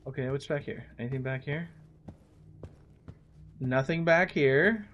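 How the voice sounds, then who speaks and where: A man's voice: medium pitch, measured pace, fairly bright tone, lo-fi sound. Casual man livestreaming over music. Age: late thirties. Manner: friendly, chatty, thinks aloud. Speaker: live streamer playing a game. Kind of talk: casual livestream chat with viewers while playing.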